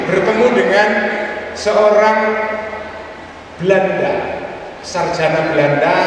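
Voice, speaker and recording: A middle-aged man, a cleric giving an informal public talk with anecdotes, speaking in short phrases with pauses and dry self-deprecating humour. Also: a little high. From Indonesia. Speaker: Islamic cleric, guest speaker. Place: large echoing hall at a public forum. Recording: very echoey hall, distant mic, noisy.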